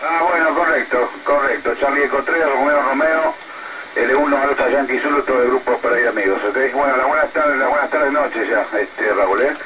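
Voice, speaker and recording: A man's voice: mid-range voice, moderate pace. A man talking casually with other amateur radio operators, passing turns and reading out call signs. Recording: very noisy satellite radio audio.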